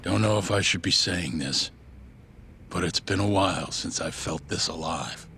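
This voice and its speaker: A man playing a gruff but spirited older man with a gravelly voice in a game cutscene. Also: low-pitched, moderate pace, bright, forceful tone, a little hiss or hum, exaggerated voice. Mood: invigorated. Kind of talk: video game cutscene acting.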